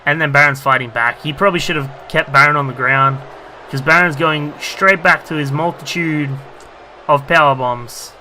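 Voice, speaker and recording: Guy doing excited play-by-play over his wrestling game, super bright higher voice, lots of fillers, slight hiss. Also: normal speed, low-quality recording. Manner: rambling commentary with many fillers, bursts of excitement at big moves. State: losing his voice. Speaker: gaming YouTuber commentating a wrestling game.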